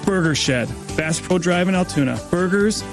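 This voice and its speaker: A man with a fairly high voice speaks as a chef in a scripted radio spot. He addresses listeners directly over a clear music bed. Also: animated, normal speed. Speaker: chef advertising a restaurant. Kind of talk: scripted first-person radio ad.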